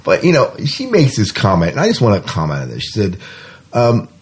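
A man co-hosting a podcast, chatting casually about the news in a husky voice. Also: on the low side, wildly swooping pitch, a little fast. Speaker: podcast host.

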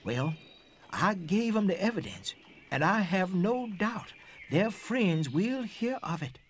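Earnest Civil War era witness, high-pitched, swooping intonation, animated stage storytelling, faint background noise. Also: male voice, takes it slowly, full character voice. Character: Civil War era man earnestly recounting fugitive slaves' escapes. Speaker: actor in a dramatic reading. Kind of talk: theatrical first-person testimony, dramatic reading.

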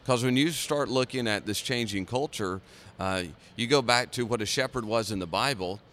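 A man's voice: mid-range voice, normal speed, fairly bright tone. A man chatting casually as a guest in a podcast interview. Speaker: podcast guest, seminary professor. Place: conference center during a busy event. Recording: faint busy venue noise behind.

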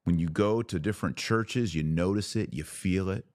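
A man's voice: low voice, moderate pace, a little mellow, no echo, clean studio sound. A man hosting a podcast, delivering a fervent religious monologue in an emphatic, exhorting manner with rhetorical questions and repetition. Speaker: podcast host.

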